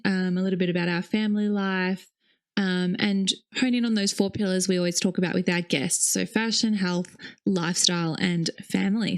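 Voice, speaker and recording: Woman co-host, easy laughing chatter, mid-pitched voice. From Australia. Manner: chatty, laughs often, fillers. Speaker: podcast co-host. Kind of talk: casual chat.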